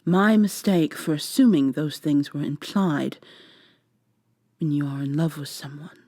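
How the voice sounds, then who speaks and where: A woman voicing a wounded, bitter robot woman in an ASMR audio drama, with soft, cutting, formal speech. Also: low voice, unhurried, dry recording, clean studio sound, pitched below the performer's own voice. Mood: bitter, sarcastic.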